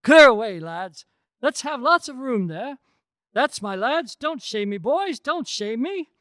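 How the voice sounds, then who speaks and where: A man performs a hearty, festive old merchant for a radio drama. The voice sits very high and leaps around in pitch. He exclaims exuberantly, like a master rallying his household. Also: very animated, a little slow, sharp tone, dry recording, clean studio sound, exaggerated voice. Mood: jolly, bustling.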